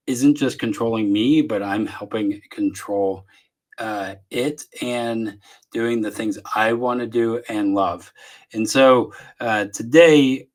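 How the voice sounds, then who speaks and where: A man from Southeast Texas with a Texan accent, hosting a casual podcast interview from his home office, talking in a relaxed, rambling way with lots of fillers. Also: fairly low pitch. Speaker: podcast host.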